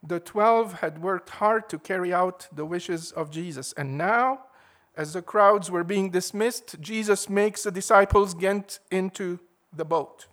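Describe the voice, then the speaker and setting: A man preaching a sermon in a measured, emphatic way, leaning hard on key words. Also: sits high, fairly bright tone.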